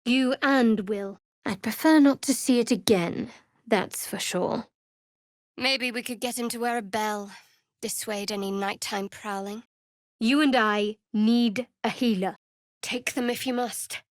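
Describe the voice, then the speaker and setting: A young woman cleric of a dark goddess, played by an actress for a video game, in a slightly high, closely recorded dry sound. She is guarded and sardonic, delivering everything with a dry, wry edge. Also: unhurried, no room sound, lightly acted. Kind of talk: video game character voice acting.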